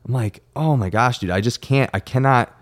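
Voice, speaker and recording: A man's voice: medium pitch, normal speed. A man hosting a podcast, chatting casually in an informal, slangy and opinionated way. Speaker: podcast host. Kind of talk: casual chat.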